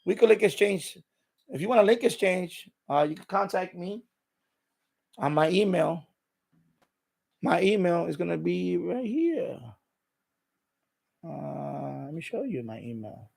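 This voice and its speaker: Male streamer, middle-aged, slightly high voice with heavy fry; casual, repetitive, explanatory delivery. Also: expressive, measured pace, long pauses, a little mellow. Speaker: live stream host. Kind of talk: casual unscripted live stream chat.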